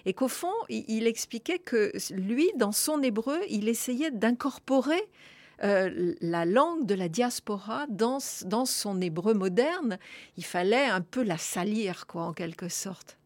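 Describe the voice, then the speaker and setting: A woman's voice: mid-range voice, animated, a little slow, darkish tone, no echo. A woman hosting a radio discussion, explaining ideas thoughtfully with occasional hesitations. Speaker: radio host.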